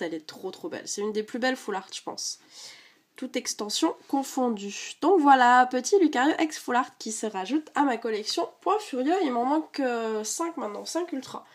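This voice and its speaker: Chatty woman with a head cold. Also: fairly high pitch. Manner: chatty, many fillers, rattles off card names. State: stuffy from a cold. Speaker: card collecting vlogger. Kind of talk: casual unboxing chat.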